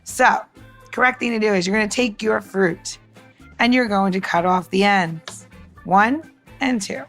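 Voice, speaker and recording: A woman's voice: mid-range voice, measured pace, a bit bright, soft background music. A chatty woman co-hosting a podcast, talking casually and directly to the listener as if demonstrating. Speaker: podcast co-host giving bar tech tips.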